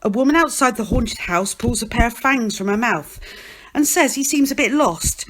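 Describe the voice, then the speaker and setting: A woman reading a children's novel aloud as scripted narration, in an expressive storytelling manner. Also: fairly high pitch, normal speed, breathy edge, rough recording. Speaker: storybook reader.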